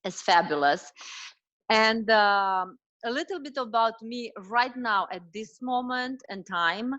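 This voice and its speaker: A woman's voice: fairly high pitch, slow pace, highs cut off. Romanian-accented woman chatting as a guest. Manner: warm, animated, frequent fillers and restarts. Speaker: dietitian guest. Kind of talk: casual interview chat.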